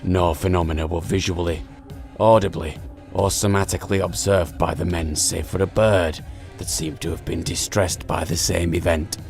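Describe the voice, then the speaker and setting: A cold hospital researcher dictates patient notes in a low, gravelly voice. His delivery is formal and detached, in the style of a horror game, with music faintly behind. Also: fairly even intonation, moderate pace, a little mellow. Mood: clinical, detached. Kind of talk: video game voice acting, clinical report.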